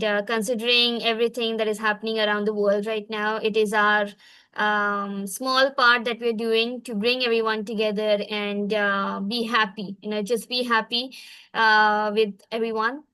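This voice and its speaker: A woman's voice: mid-range voice, level tone, measured pace, sharp tone, smooth, no echo. A woman hosting an online cultural exchange on a video call, moderating informally.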